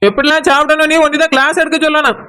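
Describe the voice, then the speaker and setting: A woman's voice: sits high, level tone, very fast, bright, forceful tone. A woman playing a sarcastic village sister-in-law in a cartoon, bantering mockingly with a southern Tamil Nadu accent. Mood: teasing sarcastic. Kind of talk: cartoon village comedy acting.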